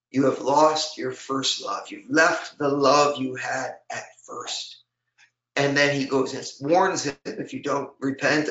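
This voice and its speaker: A man preaching and leading prayers for an online talk, his voice a little hoarse, speaking earnestly with many fillers and slowing down for scripture. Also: on the high side, sharp tone, reverberant, dull audio. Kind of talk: spoken teaching and prayer.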